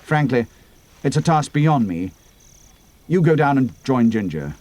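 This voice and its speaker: A man's voice: medium pitch, big pitch swings, unhurried, darkish tone. A man voicing a grave, decisive young air adventurer in a storybook reading, with a terse, commanding manner. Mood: grave, businesslike. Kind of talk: dramatic audiobook character reading.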